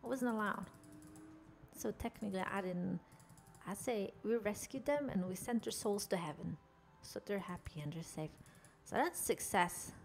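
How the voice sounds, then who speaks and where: A woman's voice: mid-range voice, moderate pace, gravelly. Chatty Norwegian woman streaming a game. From Norway. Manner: chatty, jokey, chatting with chat while playing. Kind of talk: playful in-character game commentary.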